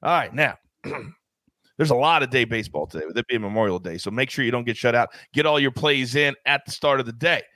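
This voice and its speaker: Bright, hyped-up sports betting host. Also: male voice, brisk, no room sound, clean studio sound. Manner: hyped, showy, playful swings in pitch. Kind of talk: sports betting show hosting.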